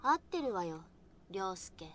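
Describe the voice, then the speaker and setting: An actress playing a curt, guarded young woman in a Japanese visual novel game, giving sharp orders, with music mixed in. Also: medium pitch, very animated, normal speed, slight background noise, natural voice. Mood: insistent. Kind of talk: Japanese anime game acting. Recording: game audio with loud music.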